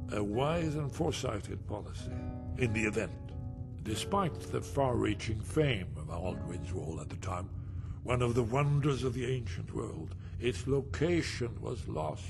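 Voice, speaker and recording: Old bookish lorekeeper in a fantasy game: excitable, fussy rambler, mid-pitched with gravelly edge. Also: male voice, a little slow, over music. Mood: earnest lecturing. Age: older. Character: excitable elderly male scholar, rambling lorekeeper. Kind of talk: fantasy video game voice acting.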